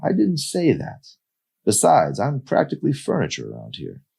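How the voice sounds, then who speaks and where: A man's voice: mid-range voice, wildly swooping pitch, normal speed, gravelly, a little mellow, slightly rough, voice pushed up, heavily acted. Frustrated, insistent male lover acted by a narrator. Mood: defensive. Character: frustrated adult man, secret lover, insistent and pleading.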